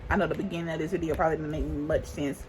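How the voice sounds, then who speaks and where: A woman's voice: sits low, fairly even intonation, rapid-fire, a little mellow, a little hiss or hum, rough recording. A woman in her twenties chatting casually to camera while doing her makeup, rambling and restarting her sentences, with speech. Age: 20s. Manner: rambling, thinks aloud with many restarts and "like". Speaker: beauty vlogger.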